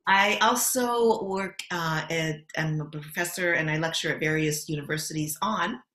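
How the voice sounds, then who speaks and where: Bright-voiced American woman, roomy sound. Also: low-pitched, normal speed. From Los Angeles, USA. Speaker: guest. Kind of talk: interview answers, casual. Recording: roomy echo.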